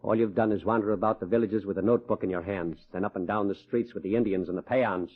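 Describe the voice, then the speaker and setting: A man's voice: fairly low pitch, steady tone, fast talker. An actor playing a stern, middle-aged wealthy rancher father, blunt and commanding, in 1940s radio drama style. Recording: muffled, husky old-time radio recording.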